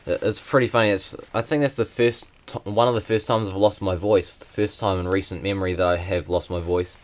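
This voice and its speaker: Low, flat-voiced young man. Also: brisk. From New Zealand. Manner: plain, hesitant, many fillers. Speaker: vlogger. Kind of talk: casual unboxing vlog.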